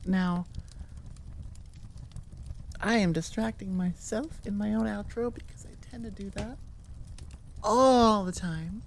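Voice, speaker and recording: A woman's voice: mid-range voice, slow, vocal fry, long pauses, slight background noise. A woman giving a casual, rambling outro as host of her audio channel, self-deprecating and wandering off on tangents at the microphone. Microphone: sitting at a computer microphone, recording into audio software.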